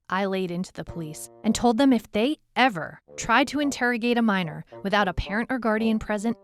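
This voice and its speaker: A woman playing a tough, weary, skeptical detective who narrates her case in a measured, dramatic, reflective way. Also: mid-range voice, natural voice. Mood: brisk, wry. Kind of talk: scripted first-person story narration. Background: music bed under the voice.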